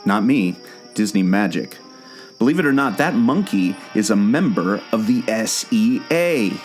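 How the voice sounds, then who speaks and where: A man's voice: lowish voice, lively intonation, measured pace, faint music behind. A man playing an eager, knowing explorer guide in a scripted audio drama, talking like an earnest storyteller. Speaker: co-host of a theme park podcast.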